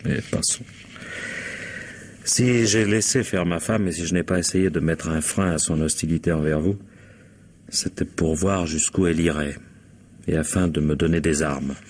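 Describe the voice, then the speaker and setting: Deep-voiced, suave husband. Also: male voice, steady tone, a little mellow, a little hiss or hum. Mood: confiding, resolute. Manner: formal, measured, suave. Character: courteous, quietly scheming bourgeois husband and employer. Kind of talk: 1950s French radio drama acting.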